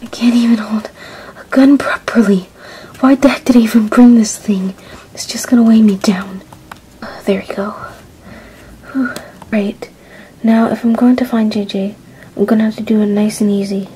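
A woman acting an injured young woman searching for her lost friend in an amateur audio drama, talking in a breathy half-whisper and often out of breath. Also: medium pitch, steady tone, moderate pace, pauses often, mellow, a little hiss or hum, close to an everyday voice, rough recording. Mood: whispery weary.

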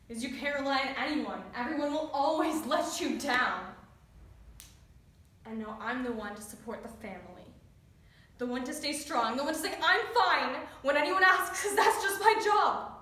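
In a very reverberant room, a woman performs a grieving, bitter teenage girl in a dramatic stage monologue. The voice is bright and forceful, swinging from hushed to shouting, picked up at some distance from the mic. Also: fairly high pitch, animated, normal speed. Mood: sorrowful, then forced composure. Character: grieving, bitter teenage daughter of a dead soldier. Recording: very echoey room, distant mic, faint noise.

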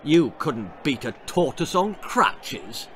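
A man's voice: on the high side, sing-song, slow, fairly bright tone, a faint crowd, a little hiss or hum, heavily acted. An English man voicing an arrogant, mocking schoolboy athletics champion in a storybook, with sneering taunts.

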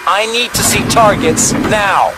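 Loud music and battle noise run behind a military attack pilot. His voice is a little high, focused and tense, with clipped procedural radio phrasing from a video game. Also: animated, unhurried. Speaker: jet pilot. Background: loud music, vehicles, gunfire.

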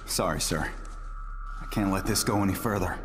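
A man's voice: deep voice, moderate pace, music in the background, natural voice. Wry, defiant scientist rebel played by a man. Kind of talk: cinematic game cutscene acting.

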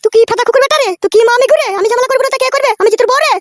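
Shrill, furious cartoon village wife. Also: female voice, racing, dry recording. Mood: hurt, indignant. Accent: rural West Bengal. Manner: nagging, cursing, wailing rants. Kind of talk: comedy cartoon dub, melodramatic quarrelling.

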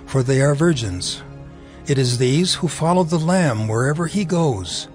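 Male narrator, somewhat high register, solemn and measured scripture drama, faint music beneath.

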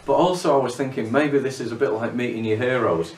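A man's voice: medium pitch, quick pace, a little hiss or hum. A middle-aged man, a cave diver, talking in an interview about diving and often repeating words as he starts a phrase. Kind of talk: documentary interview. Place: living room. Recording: roomy, from a distance.

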